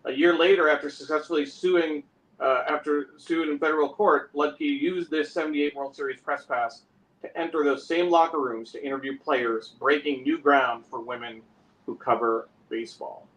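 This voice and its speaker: A man's voice: a little high, steady tone, moderate pace, a bit bright, low-quality recording. A man, a co-host casually explaining and reading aloud.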